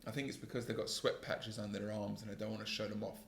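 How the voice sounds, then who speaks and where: Calm gravelly-voiced man in an echoey room. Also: fairly low pitch, fast talker. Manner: calm, earnest, self-critical, with frequent restarts. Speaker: podcast host and sales trainer. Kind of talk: solo reflective podcast monologue.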